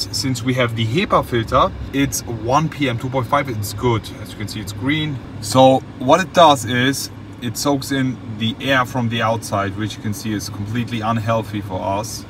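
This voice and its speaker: A man's voice: medium pitch, a bit bright. A man presenting a car in a casual, enthusiastic, explanatory way, checking in with viewers as he talks. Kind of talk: casual car demonstration to camera. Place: inside a car. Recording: clear background noise, inside a car.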